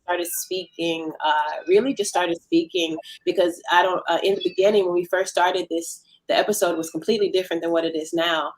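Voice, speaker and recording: Woman with a slightly low voice. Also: steady tone, a little fast, hardly any pauses, low-quality recording. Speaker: playwright and actor, interview guest. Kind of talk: interview answer, casual storytelling.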